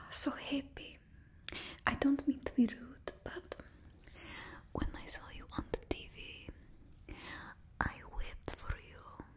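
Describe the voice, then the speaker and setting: A woman's voice: high-pitched, fairly even intonation, slow pace, pauses often. A young woman voicing a fussy, theatrical supervillain fashion stylist in a put-on Russian accent, whispering close to the mic for ASMR. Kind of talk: ASMR roleplay. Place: small indoor studio, painted backdrop. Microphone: close studio mic.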